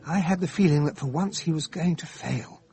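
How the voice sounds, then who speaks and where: An actor playing an earnest, loyal English gentleman who is a detective's companion and narrates a radio drama politely. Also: a little high, normal speed, close to an everyday voice. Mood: concerned, recounting.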